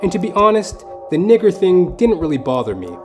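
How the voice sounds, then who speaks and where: A man narrates a comic audio play in a theatrical, mock-literary manner. His voice sits a little high and swings widely in pitch. Music plays clearly behind him. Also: very animated, brisk. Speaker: comedian and storyteller.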